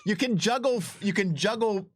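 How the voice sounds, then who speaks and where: A man's voice: high-pitched, moderate pace, no echo, clean studio sound. A man talking casually as a game designer, enthusiastic and emphatic, often repeating himself and veering into asides. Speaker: game designer and adventure writer. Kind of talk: casual developer commentary chat.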